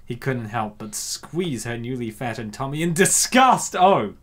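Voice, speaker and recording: A man narrates a comic with very animated pitch. He is theatrical and slangy, mixing scripted reading with casual riffing. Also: a little slow. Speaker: narrator and commentator.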